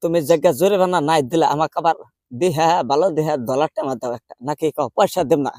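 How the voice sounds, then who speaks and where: A man acts out a quarrelsome old grandmother from a village, high in pitch and speaking rural Bangladeshi dialect. She complains and haggles stubbornly, as in a comic prank call, with a dry sound free of room echo. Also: quick pace, darkish tone, close to an everyday voice. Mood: pleading, grumbling. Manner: insistent, complaining, argumentative.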